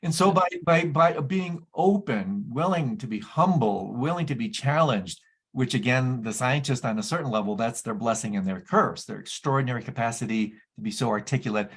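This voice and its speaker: A male interview host talks in an effusive, enthusiastic way. His voice is mid-pitched with a husky, breathy texture. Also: expressive, normal speed. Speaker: podcast host. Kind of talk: conversational interview.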